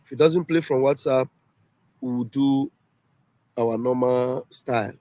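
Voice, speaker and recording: A man's voice: mid-range voice, slow, mellow. A man hosting a casual livestream, emphatic and repeating himself often. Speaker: livestream host. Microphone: home livestream setup.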